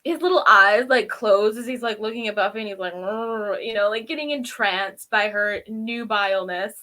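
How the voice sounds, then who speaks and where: A woman's voice: medium pitch, moderate pace, pushed and bright. A woman co-hosting a review show in casual, informal chat, giggly and given to exasperated asides. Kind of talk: casual chat, recapping and critiquing a TV episode.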